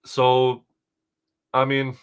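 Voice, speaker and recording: A man reading aloud casually as a co-host, dropping in deadpan, hesitant asides. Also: mid-range voice, extremely slow, clear, resonant tone. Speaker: co-host reading and reacting to a document.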